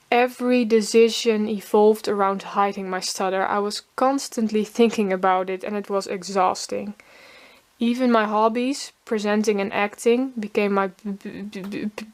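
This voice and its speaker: Teenage girl narrating with a stutter. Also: mid-range voice. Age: teens. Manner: stutters with repeated sounds. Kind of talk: pre-recorded personal narration.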